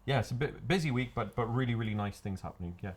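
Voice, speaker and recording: A man's voice: mid-range voice, quick pace, background noise. A man co-hosting a podcast in casual chat, friendly and asking follow-up questions. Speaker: podcast co-host.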